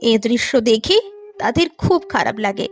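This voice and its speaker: High-pitched woman narrating a story. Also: expressive, measured pace. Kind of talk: scripted storybook narration for animated moral tale.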